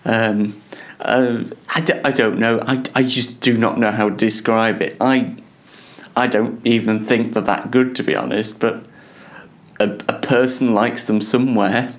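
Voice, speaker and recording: A man chatting casually as a web show host, halting and self-deprecating as he talks. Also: mid-range voice, fairly even intonation. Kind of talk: casual self-interview chat.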